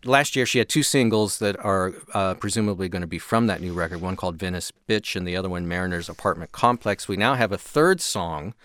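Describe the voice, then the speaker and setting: A man's voice: fairly low pitch, fairly bright tone, no echo, clean studio sound. A man co-hosting a music show, talking casually in an enthusiastic, earnest way.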